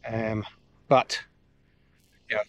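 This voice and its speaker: A man in his fifties, chatting casually to camera while sailing alone, rambling with lots of ums and pauses. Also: flat delivery. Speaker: solo sailing vlogger. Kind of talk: casual running commentary to camera. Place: outdoors on a sailboat on open water. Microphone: action camera on the boat. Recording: wind and boat engine rumble behind.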